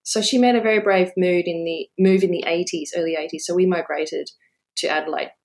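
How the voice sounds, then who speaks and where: A woman's voice: lowish voice, steady tone, brisk, low-quality recording. A woman, telling her life story as an interview guest in a chatty way, with frequent laughs and fillers. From Australia. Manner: warm, chatty, laughs often, many fillers. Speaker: guest, business owner.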